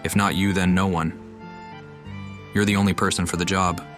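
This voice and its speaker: A man with a low voice plays a video game, reading dialogue out and commenting casually. Music is clearly heard behind him. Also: flat delivery, normal speed.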